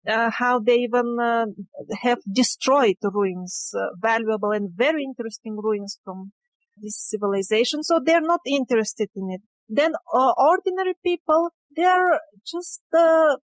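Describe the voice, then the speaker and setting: A woman's voice: high-pitched, no room sound, poor audio. A woman interview guest explaining her field findings conversationally, with emphatic but hesitant phrasing. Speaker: interview guest, independent researcher.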